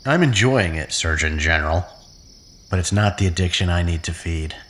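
A man's voice: low-pitched, animated, moderate pace, fairly bright tone. A man playing an obsessive, manipulative captive in an audio drama, with an intense, commanding, brooding manner. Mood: brooding, probing.

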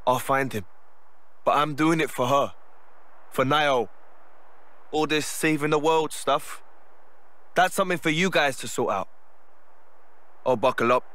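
A man's voice: a little high, a little slow, pauses often, a bit bright, dry recording. A man playing a guarded, stubborn young man in a video game cutscene, speaking curtly and defiantly. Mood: resolute. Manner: curt, stubborn.

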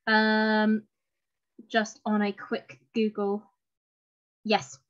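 A woman's voice: medium pitch, completely flat delivery, very slow. A woman, giving an informal, chatty, self-deprecating online presentation. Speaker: presenter giving a talk on fountain pens. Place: indoor room at home, on a video call. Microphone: video call microphone. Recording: dull lo-fi video call audio.

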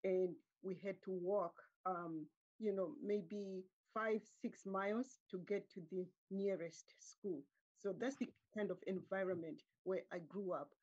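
A middle-aged woman from Zimbabwe, calmly answering interview questions on a video call from a room at home. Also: medium pitch, lo-fi sound. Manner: calm, measured, with frequent um pauses. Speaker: guest artist. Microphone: laptop webcam on a video call.

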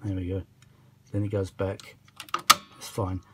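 A tired man with a husky voice, chatting casually and a little exasperatedly in a rambling hobby repair vlog, recorded indoors on a handheld camera. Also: sits low, monotone, measured pace, very noisy. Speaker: vintage audio hobbyist vlogger. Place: indoors, small home workshop. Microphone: handheld phone or camera behind the lens.

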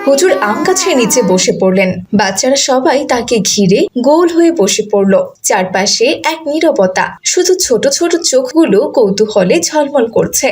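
A woman's voice: a little high, expressive, moderate pace, hardly any pauses, a little mellow, slight background noise. A woman reading scripted narration for a children's story.

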